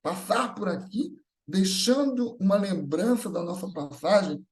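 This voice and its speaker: A man's voice: high voice, animated, unhurried. A man with a Brazilian accent giving a conversational, explanatory religious talk, informal and often checking in with rhetorical questions. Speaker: speaker at a Spiritist gathering.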